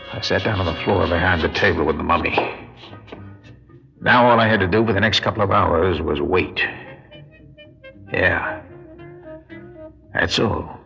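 A man's voice: sits low, creaky, fairly bright tone, exaggerated voice. An actor playing a wary, world-weary con man in a radio drama, with a wry, street-wise way of talking. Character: wary, world-weary male con man narrating his own crime. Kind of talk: classic radio drama acting with first-person narration. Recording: dull lo-fi radio drama recording.

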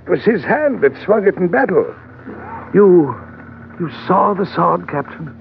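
A tinny, hissy old radio recording of a corpulent gentleman adventurer. His highish male voice swoops grandly and creaks with sighs as he rolls out pompous, oily phrases. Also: animated, measured pace, exaggerated voice. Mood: eager, grandiose. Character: fat, flowery, eager gentleman treasure hunter. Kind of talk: old-time radio drama acting.

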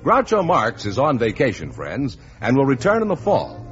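Booming vintage radio announcer, a man. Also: huge pitch swings, normal speed, fairly bright tone, soft background music. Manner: booming, showy delivery. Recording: dull vintage radio recording.

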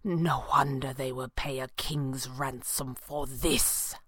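Woman as a slow, gloating power-mad male villain. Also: extremely low pitch, steady tone, fairly bright tone, slightly rough, dry recording, clean studio sound, pitched below the performer's own voice, heavily acted. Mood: awed, hushed. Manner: grandiose, drawn-out, gloating. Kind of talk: dramatized pulp adventure audiobook.

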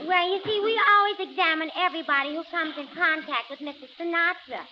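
Very high-pitched fussy nurse. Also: female voice, quick pace. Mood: patronizing. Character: brisk, giggly nurse, patronizing and fussy. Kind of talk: 1940s radio comedy acting. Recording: noisy, muffled old radio recording.

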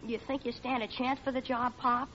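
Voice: medium pitch, level tone, brisk, pushed and bright, natural voice. A performer playing an eager young schoolboy son with boyish slangy talk in an old radio comedy. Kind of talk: 1940s radio comedy acting. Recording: noisy, muffled old radio recording.

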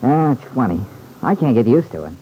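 A man's voice: mid-range voice, big pitch swings, brisk, highs cut off, a little hiss or hum, lightly acted. An actor playing an earnest, loyal young man home from war in an old-time radio serial. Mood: relieved, reflective. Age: young adult. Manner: earnest, colloquial, reflective.

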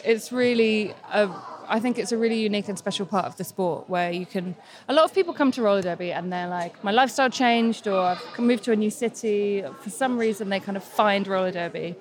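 A woman's voice: medium pitch, fairly quick, few pauses. A woman roller derby player speaks casually in an interview. She sounds enthusiastic and reflective.

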